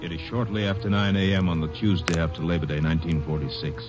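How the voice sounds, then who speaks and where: A man narrating a scene in a 1940s radio drama, measured and matter-of-fact. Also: sits low, fairly even intonation, over loud music.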